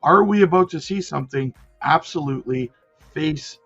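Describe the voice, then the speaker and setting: Husky-voiced man hosting a crypto stream. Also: a little high, normal speed, a bit bright, lo-fi sound, faint music behind. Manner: chatty, keeps checking in with "right?" and "guys". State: losing his voice. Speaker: crypto livestream host. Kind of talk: livestream commentary mixing read news and chat.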